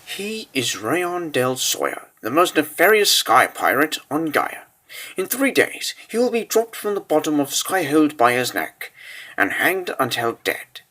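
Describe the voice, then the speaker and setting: A man's voice: a little high, sing-song, unhurried, pushed and bright, full character voice. A man playing a grave storyteller, reading scripted narration for a comic book dub in a dramatic way.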